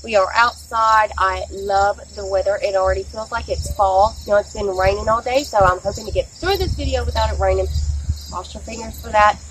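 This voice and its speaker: A woman's voice: mid-range voice, brisk, a bit bright, slight background noise, low-quality recording. Chatty woman outdoors. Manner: chatty, enthusiastic, says "y'all" and "guys" often. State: slightly scratchy from a sore throat. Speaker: beauty vlogger. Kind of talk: casual product review. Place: outdoors in a backyard. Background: crickets and insects, barking dogs.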